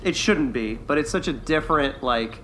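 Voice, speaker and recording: A man's voice: mid-range voice, lively intonation, moderate pace, pushed and bright, a little hiss or hum, low-quality recording. A man co-hosting a podcast, talking in an enthusiastic, chatty way in a casual review discussion. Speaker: podcast co-host.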